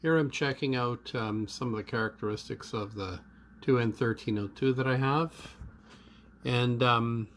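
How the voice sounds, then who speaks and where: A man giving unscripted, step-by-step commentary as a hobbyist repairer, halting as he thinks aloud. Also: mid-range voice, fairly bright tone, a little hiss or hum.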